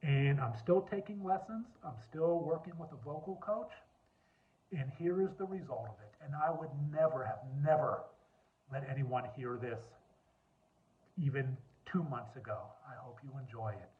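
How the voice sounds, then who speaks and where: A man with a deep voice giving an earnest personal talk, telling his story and repeating points for emphasis. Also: normal speed. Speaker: speaker on lawyer wellbeing. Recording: tinny phone-like line.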